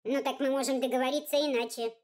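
Storybook role: tiny pompous male courtier played by a woman, extremely high piercing squeak, formal rapid patter. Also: steady tone, darkish tone, lightly acted. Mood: conciliatory, bargaining. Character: tiny pompous bearded male envoy of the dream king. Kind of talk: storybook character voice.

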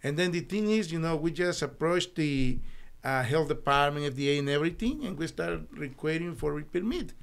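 A middle-aged man from El Salvador with a Spanish accent, telling his story as an interview guest in halting, earnest English in a small studio on a desk mic. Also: fairly high pitch, animated, gravelly. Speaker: guest, naturopathic doctor. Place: small studio with foam wall panels. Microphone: desk podcast mic.

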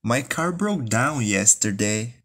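A man who speaks as his teaches English phrases, reading each one slowly and then word by word. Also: medium pitch, animated, fairly bright tone. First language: Spanish. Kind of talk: bilingual language lesson, slow drilled repetition.

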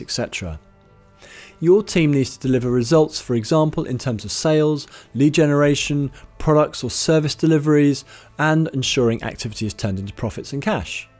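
A British man in his thirties delivers a scripted training talk to camera, his voice lively and rising and falling. He speaks close to a studio mic in a measured, instructive way. Soft music plays beneath him. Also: medium pitch. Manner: measured, instructive, lists points in order. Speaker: management trainer. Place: studio with plain grey backdrop. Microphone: studio mic on boom arm in front.

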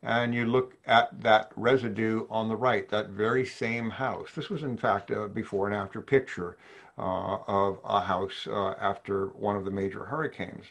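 Older man lecturing thoughtfully, lowish voice. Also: fairly even intonation, a bit bright. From New Orleans, USA. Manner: measured, thoughtful, poses questions to the audience. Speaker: psychiatrist presenting a webinar. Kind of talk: lecture to physicians over slides. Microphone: video call webcam.

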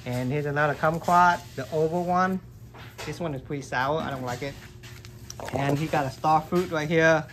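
A man's voice: fairly high pitch, moderate pace, fairly bright tone, a little hiss or hum. Chatty man touring a basement grow room. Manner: loose, chatty, fills with "like" and "um". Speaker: gardening vlogger. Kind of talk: casual how-to tour. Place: basement grow room with plants under grow lights. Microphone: handheld phone camera, filming his hands.

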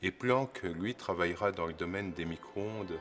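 A man with a very low-pitched voice explains science for an educational documentary, with clear music behind him. He speaks off the cuff in a teacherly way, pausing and repeating words as he searches for the right phrase.

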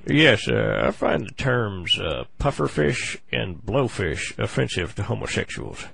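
A man with a gravelly voice making a comic prank phone call, halting and full of mock-earnest complaint. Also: on the low side, big pitch swings, a bit bright. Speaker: prank caller on a comedy show.

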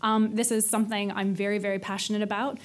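A Canadian woman talking fast from a podium in a big conference hall. She fills her talk with ums and light self-deprecating jokes. Her delivery is quick and chatty. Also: medium pitch, little pitch movement. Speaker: presenter. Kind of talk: conference presentation. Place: large hall with stage and audience.

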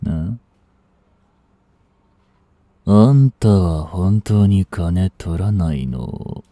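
A man acting as a cynical, aloof young street delinquent in a voice drama, with rough, casual, lazily teasing speech, close to the mic. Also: sits low, lively intonation, extremely slow, warm tone, no room sound, full character voice. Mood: aloof, dismissive. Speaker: voice actor. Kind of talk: Japanese voice drama aimed at women, one-sided dialogue. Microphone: close studio mic. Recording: dry close-miked studio recording.

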